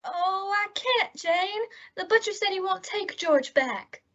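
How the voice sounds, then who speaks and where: A woman's voice: extremely high pitch, expressive, slow pace, fairly bright tone, highs cut off, heavily acted. A woman playing a naive, good-hearted young airhead, earnest and literal-minded, in an old-time radio comedy. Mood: excited, defensive.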